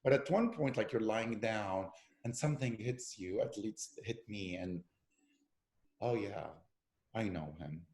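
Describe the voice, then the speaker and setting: A man with a gravelly, creaky voice chats through a loose, rambling interview. He stops and restarts often and piles on fillers as he tells his stories. The room around him is noticeably echoey. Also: fairly low pitch, measured pace. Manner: animated storyteller with many fillers and restarts. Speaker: actor and podcast guest. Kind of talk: casual interview conversation.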